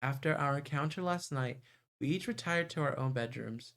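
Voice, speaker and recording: A man's voice: mid-range voice, moderate pace, vocal fry, fairly bright tone. A man streaming a visual novel, reading its narration aloud and reacting live. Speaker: game streamer. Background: game music.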